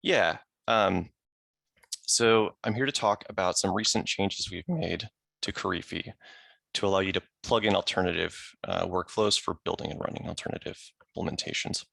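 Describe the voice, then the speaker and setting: A man's voice: sits low, normal speed, gravelly, bright, forceful tone, no echo. A man giving an informal technical presentation on a video call, explaining things casually with small asides. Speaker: software engineer presenting.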